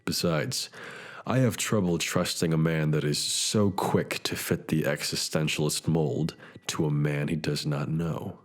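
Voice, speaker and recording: An actor playing a brooding, philosophical detective in a scripted future noir drama, speaking in measured, formal phrases. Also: male voice, very deep voice, steady tone. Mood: guarded, probing. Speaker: detective character and narrator. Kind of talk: scripted noir drama dialogue and reflective monologue. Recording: long reverb, music behind.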